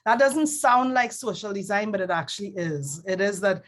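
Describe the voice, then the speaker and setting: A woman with a Trinidadian accent, a design professor speaking in a casual panel conversation, thoughtful and hesitant, with many pauses and self-corrections over a video call. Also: medium pitch, expressive. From Trinidad and Tobago.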